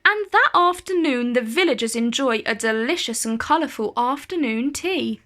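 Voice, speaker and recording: A woman reading a children's picture book aloud as a storyteller, with deliberate phrasing and dramatic emphasis. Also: high voice, expressive, a bit bright.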